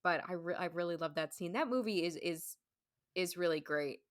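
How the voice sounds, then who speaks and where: A woman's voice: on the low side, normal speed, no echo, clean studio sound. A woman speaking as a podcast guest in casual chat, emphatic and chatty, peppering her talk with "like". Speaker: podcast guest, stage performer.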